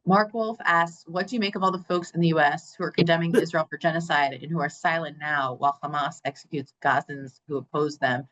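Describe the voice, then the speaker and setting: A woman's voice: deep voice, fairly even intonation, a bit bright, poor audio. A woman moderating an online panel, politely thanking the speaker and reading out audience questions. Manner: polite, brisk, reads questions aloud.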